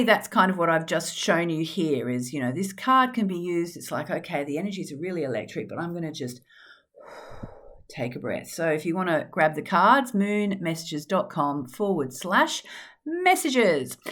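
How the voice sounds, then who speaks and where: A middle-aged woman hosts her podcast with a slightly gravelly, low voice. She talks in a chatty, upbeat way, filling gaps with easy fillers. Her pitch sits a little below the usual for a woman. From Australia. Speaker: podcast host and astrologer. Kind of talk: casual solo podcast chat.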